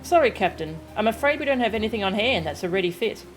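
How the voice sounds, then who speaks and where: A woman's voice: medium pitch, expressive, fast talker, faint music behind, natural voice, poor audio. Woman reading as an obliging, polite military tailor. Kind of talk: video game dialogue read aloud.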